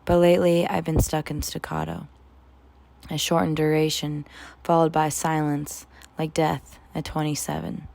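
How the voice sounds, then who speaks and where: Low, creaky-voiced woman reciting spoken word poetry. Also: level tone, moderate pace, a little mellow. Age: 20s.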